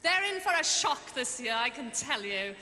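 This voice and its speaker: A woman's voice: sits high, very animated, moderate pace, bright, forceful tone, in a large hall, slight background noise. A woman from Wales, a game show contestant, answering confidently and breezily. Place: large indoor arena.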